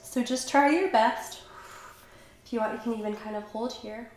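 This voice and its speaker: A young woman with a slight cold, calmly and encouragingly guiding a Pilates workout while she does the moves herself. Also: a little high, moderate pace, soft background music. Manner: calm, encouraging, talks while exercising. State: has a cold, slightly stuffy. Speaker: pilates instructor. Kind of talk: guided workout instruction. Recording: very echoey room, faint background noise.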